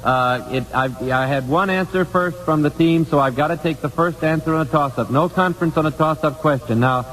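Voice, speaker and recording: An American man hosting a live 1950s radio quiz, putting questions deliberately and repeating key phrases for emphasis on an old broadcast recording. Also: on the high side, a bit bright. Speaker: quiz show host. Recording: old radio broadcast, hall reverb, hiss.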